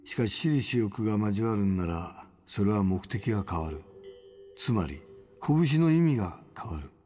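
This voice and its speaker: An actor playing a stern, sage middle-aged fighting mentor who speaks solemnly and tersely in a video game. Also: male voice, lowish voice, lively intonation, moderate pace, warm tone, soft background music, natural voice. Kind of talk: dramatic video game cutscene acting.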